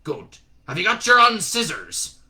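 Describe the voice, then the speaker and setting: A man voicing a gruff, testy old Witchfinder sergeant with a rumbling voice and an accent that wanders across British regions. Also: big pitch swings, unhurried, sharp tone, exaggerated voice. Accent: wandering mix of British regional accents.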